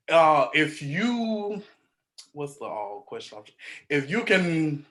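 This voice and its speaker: A man's voice: on the high side, a bit bright. A man hosting a casual podcast, earnest, often repeating words and restarting with uhs. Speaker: podcast host.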